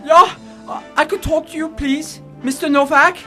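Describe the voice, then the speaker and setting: An actor playing a frail, weary old man in a 1940s radio drama, speaking in a halting, pleading way. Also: very high-pitched, fairly even intonation, bright, forceful tone, soft background music. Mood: anxious, pleading. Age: older. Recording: 1940s radio broadcast recording.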